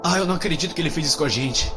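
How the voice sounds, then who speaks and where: A man playing a carefree, sarcastic young buddy with a Brazilian accent in a horror audio drama, slangy and excitable. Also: on the high side, rapid-fire, natural voice. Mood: disgusted. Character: brash, carefree, sarcastic young male buddy on road trip. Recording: music under the dialogue.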